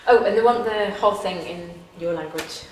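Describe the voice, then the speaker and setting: A woman's voice: mid-range voice, normal speed, lightly acted. A woman playing a breezy, glib casting agent with an offhand, casually ignorant manner in a film scene. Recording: echoey room, clear background noise.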